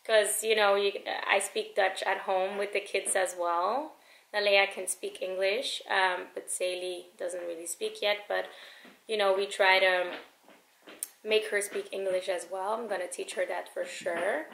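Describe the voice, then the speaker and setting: A woman's voice: mid-range voice, flat delivery, unhurried, gravelly, a bit bright. A woman in her thirties chatting casually to camera as a vlogger, with plenty of ums and uhs. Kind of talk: casual vlog talk to camera. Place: home kitchen. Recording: echoey room.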